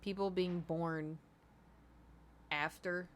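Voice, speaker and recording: A woman's voice: on the low side, fairly even intonation, very slow, slight background noise. Chatty American woman streamer. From United States. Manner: rambling, chatty, reads and answers chat. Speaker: gaming streamer. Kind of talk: casual chat while gaming. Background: background music.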